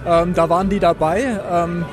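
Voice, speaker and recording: Male event organizer, high voice, off-the-cuff interview outdoors with music behind. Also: steady tone, moderate pace. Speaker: race organizer being interviewed. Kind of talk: spontaneous interview answers. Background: music and outdoor event sound.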